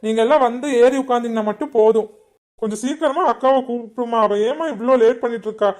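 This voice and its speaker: A man playing an impatient hurried son. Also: very high voice, a little mellow. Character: impatient grown son, worried about traffic. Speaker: son in a family skit.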